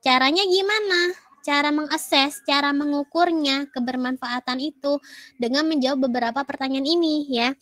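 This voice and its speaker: A woman's voice: high-pitched, moderate pace, a bit bright, dry recording. A woman giving a casual lecture with many fillers and English terms, recovering from a cough. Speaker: university lecturer.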